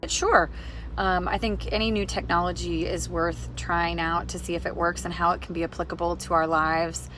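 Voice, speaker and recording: A woman's voice: on the low side, level tone, moderate pace, fairly bright tone, faint music behind. A woman casually giving her opinion in an interview.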